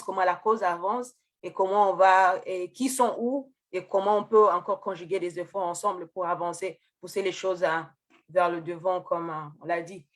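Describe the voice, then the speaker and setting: A woman moderating an online meeting over a video call, speaking with many pauses and fillers as she thanks speakers and passes the floor. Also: fairly low pitch.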